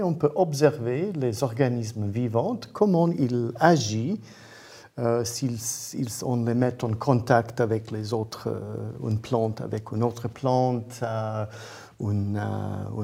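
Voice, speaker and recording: Man, foreign-accented non-native speaker, dark soft-edged timbre, vocal fry, careful halting explanations. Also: mid-range voice. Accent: foreign accent in French. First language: not French. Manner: halting, careful, searching for words. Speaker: guest, independent researcher and inventor. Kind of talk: interview explanation.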